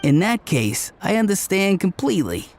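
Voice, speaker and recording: An excitable young man in a video game, chatty and wisecracking. His voice sits fairly high. Faint ambient sound lies behind him. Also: moderate pace, slight background noise. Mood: smug. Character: excitable young man who loves gangster films.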